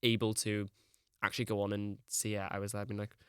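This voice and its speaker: A man's voice: on the low side, level tone, brisk, clear, resonant tone, no room sound, studio quality. A young man, chatting as a podcast guest, rambling and full of "like". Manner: rambling, peppered with "like". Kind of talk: casual chat.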